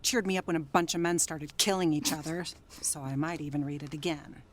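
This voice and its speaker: An actress playing Jerry, a troubled, wistful, newly sober wife in a 1930s radio drama. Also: female voice, sits low, steady tone, brisk. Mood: chatty, wry.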